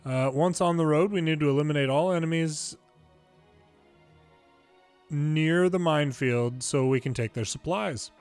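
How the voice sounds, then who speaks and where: A man's voice: on the high side, unhurried, long pauses, a little mellow, clean tone. Man reading game dialogue over music. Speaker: video game commentator reading subtitles aloud. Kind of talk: dramatic read-aloud of game dialogue.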